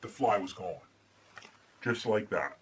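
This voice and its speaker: A man playing Batman as an earnest, deadpan superhero host who chats casually and reads storybooks aloud, with some hesitation. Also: fairly low pitch, takes it slowly, a little hiss or hum, pitched below the performer's own voice. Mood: storytelling.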